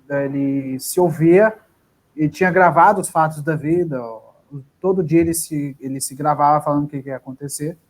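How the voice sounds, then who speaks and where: A man, an actor, chatting casually in a live interview about his career, often restarting his sentences. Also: a little high. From southern Brazil. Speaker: actor guest.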